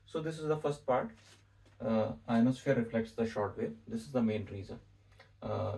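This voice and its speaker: A middle-aged Indian-accented man gives a whiteboard lesson in a deliberate, explanatory way. His voice is mellow and gravelly, picked up from some distance in a room. He repeats key phrases for emphasis. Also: on the low side. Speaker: physics teacher. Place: small indoor room with whiteboard.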